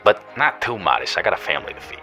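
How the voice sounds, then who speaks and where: A man's voice: low-pitched, very animated, very fast, music in the background. A man playing an earnest fantasy lawyer, reading lines in a parody advertisement. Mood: earnest pitch. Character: earnest low-voiced fantasy lawyer in a commercial.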